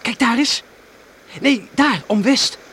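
A man's voice: extremely high pitch, takes it slowly, bright, forceful tone, full character voice. A young man playing a dreamy, teasing teenage farm boy in a radio drama, boyish and matter-of-fact. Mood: proud, dreamy. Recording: faintly noisy old radio recording.

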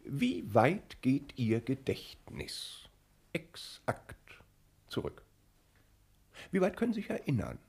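Radio drama, 1960s: learned psychiatrist, polite and pensive, husky with very varied intonation. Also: male voice, mid-range voice, wildly swooping pitch, slow, pauses often, darkish tone, heavily acted. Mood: hesitant, probing. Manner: pensive, stammering, fussily polite. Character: earnest, hesitant, learned male psychiatry professor. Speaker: sanatorium director. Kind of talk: 1960s German radio play acting.

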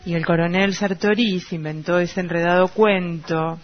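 A woman's voice: fairly low pitch, steady tone, moderate pace, slight background noise, lightly acted. A woman playing a gossiping townswoman with an Argentine accent, telling a story conversationally in a radio play. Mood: gossipy, confiding. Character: gossiping townswoman recalling a dead spinster neighbour. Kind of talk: radio play literary narration. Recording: dull radio recording with music behind. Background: music.

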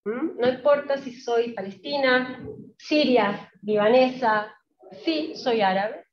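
A woman lecturer with a fairly high voice speaks slowly and haltingly as she presents and reads poetry to listeners. She is picked up from a distance in a roomy space. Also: a little hiss or hum. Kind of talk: public talk with poem readings. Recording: roomy, distant, dull lo-fi pickup.

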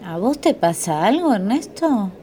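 A woman's voice: mid-range voice, sing-song, a little slow, dark tone, a little hiss or hum. An actress with an Argentine accent playing a candid young woman in a radio drama. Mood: caring, coaxing. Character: anxious, candid young actress torn over love, caring friend.

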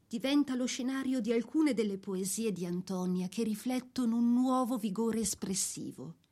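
Female narrator, medium pitch, scripted biographical storytelling, measured literary phrasing, radio drama. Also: mellow.

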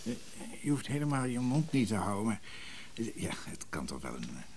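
Gravelly, low-voiced middle-aged husband. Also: male voice, big pitch swings, a little mellow. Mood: evasive, hesitant. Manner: hesitant, halting, muttering. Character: henpecked middle-aged husband, evasive, quietly loyal to his brother. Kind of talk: radio drama acting. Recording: radio play with faint background noise.

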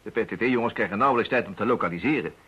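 An actor playing an eager, determined investigator in a radio play, urgent and persuasive. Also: male voice, on the low side, very fast, sharp tone, breathy edge. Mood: exasperated. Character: eager, determined male amateur investigator, impatient with police. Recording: dull lo-fi radio drama recording.

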